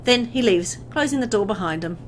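A woman's voice: medium pitch, expressive, a little fast. Woman narrating horror game, faint background noise. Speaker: gameplay video host. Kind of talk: dramatic read-aloud narration of a horror visual novel.

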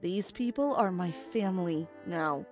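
A woman acting a blunt, protective, sharp-tongued survivor and pilot in an audio drama. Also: lowish voice, expressive, unhurried, soft background music. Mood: defiant.

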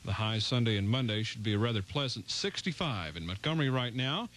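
A man's voice: medium pitch, expressive, brisk, a bit bright. A young man working as a radio DJ, delivering 1970s top-40 patter and announcements in an upbeat, polished announcer style on an old aircheck tape. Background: music.

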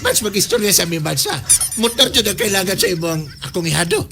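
A woman acting an excitable, indignant spouse in a comedic radio drama, exclaiming and protesting. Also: mid-range voice, fairly quick, lightly acted. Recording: faint music bed behind the voice.

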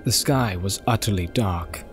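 A man narrating a fantasy novel in a measured, solemn, dramatic reading style. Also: deep voice, soft background music. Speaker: audiobook narrator.

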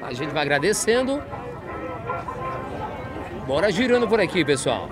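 A man's voice: on the high side, very animated, unhurried. A man walking an outdoor livestock fair, chatting with sellers and repeating prices to viewers. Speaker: market reporter host. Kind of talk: walking market vlog, interviewing sellers. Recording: busy outdoor market crowd. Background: crowd chatter and animals.

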